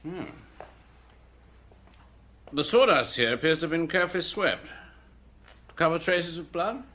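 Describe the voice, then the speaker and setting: An actor playing an observant, deferential police detective, attentive and matter-of-fact, in a radio drama. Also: male voice, fairly high pitch, expressive, measured pace, pushed and bright, exaggerated voice. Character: deferential male police detective, observant sidekick to his chief.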